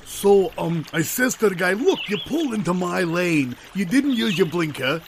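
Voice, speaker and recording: A man voicing a flustered, aggrieved driver in an improv comedy sketch, complaining rapidly in exasperation. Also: high-pitched, expressive, background noise. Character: flustered, aggrieved driver arguing after being cut off.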